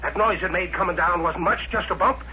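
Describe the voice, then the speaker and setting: A man's voice: high voice, a little fast, sharp tone. An actor playing a greedy, bossy middle-aged father who runs a desert gas stop, with folksy rural talk, in 1950s radio drama style. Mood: excited, greedy. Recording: muffled noisy vintage radio recording.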